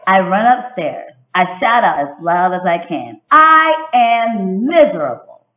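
A woman's voice: mid-range voice, a little slow. Woman reading as a dramatic, moody little storybook girl. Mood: exasperated, dramatic. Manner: expressive, emphatic storybook delivery. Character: moody, dramatic little girl telling her miserable day. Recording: dull, roomy home webcam recording.

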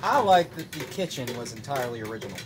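A man's voice: mid-range voice, big pitch swings, fast. A young man chatting casually on camera outdoors at night, answering in short, easygoing comments. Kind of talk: casual chat. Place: outdoors beside a ballpark brick wall at night.

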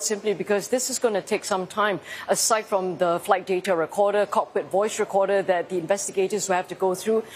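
A woman in her forties, a field reporter, speaking live from a hospital lobby in a measured, articulate news style. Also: mid-range voice, a little hiss or hum. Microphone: lapel mic.

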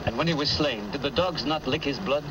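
A man's voice: mid-range voice, a little fast, background noise, lightly acted. An actor playing an earnest young would-be whaleman, polite and hesitant, in a vintage drama. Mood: uneasy. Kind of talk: vintage film drama acting.

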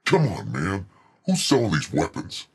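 A man acts out a flustered young superhero trying to sound menacing from a film scene. The voice is processed down with a faint robotic flange, gravelly and rough, and it leaps around in pitch. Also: huge pitch swings, measured pace, full character voice. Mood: flustered, demanding. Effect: pitch shifted down, subtle robotic flanger. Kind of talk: acted film scene imitation.

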